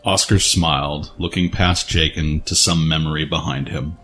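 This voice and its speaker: A man with a deep voice narrates a dramatic story. His delivery is serious and measured, as scripted fiction, with music playing underneath. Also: fairly even intonation, fairly bright tone.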